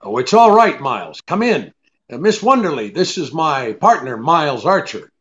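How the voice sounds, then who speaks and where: An actor playing a cool, brisk, sardonic private detective in an old-time radio drama, with a clipped, take-charge manner. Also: male voice, fairly high pitch, very animated, a bit bright. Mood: businesslike. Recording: dull lo-fi radio-play recording.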